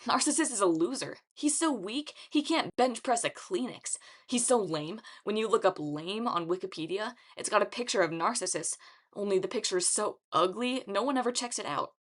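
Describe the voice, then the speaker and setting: A woman's voice: medium pitch, animated, normal speed, natural voice. A woman voicing a brash, boastful, wisecracking teenage boy hero in an audiobook. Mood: brash boasting. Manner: boastful, swaggering. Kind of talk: audiobook character acting.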